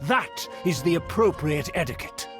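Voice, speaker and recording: A man's voice: a little high, animated, moderate pace, over music, close to an everyday voice. An actor playing a haughty, easily offended nobleman in a video game, with pompous, florid enunciation and open disdain. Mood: disdainful, indignant. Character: haughty, easily offended male aristocrat of declining clan.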